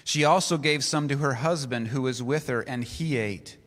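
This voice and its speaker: A man preaching a sermon, reading scripture aloud in a slow, deliberate way. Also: mid-range voice, a bit bright. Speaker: pastor. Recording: long reverb of a large hall.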